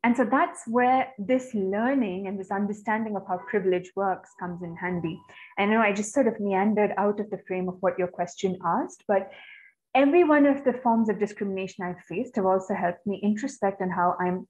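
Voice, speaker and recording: Soft-spoken woman from India on a call. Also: mid-range voice, normal speed. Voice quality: soft-voiced. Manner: earnest, reflective, articulate. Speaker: guest speaker. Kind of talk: webinar Q&A answer. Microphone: video call. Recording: slightly dull video-call audio.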